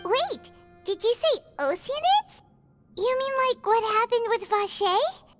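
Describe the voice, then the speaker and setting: A tiny fairy sidekick with a very high, squeaky child's voice. It swings up and down in lively, blunt, cheerful bursts, like an anime game mascot. Game music plays loudly behind it. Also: female voice, a little slow, full character voice. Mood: curious, concerned. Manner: refers to self in third person, exclamatory. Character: small chirpy floating fairy companion, childlike, blunt, cheerful. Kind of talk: anime-style game voice acting.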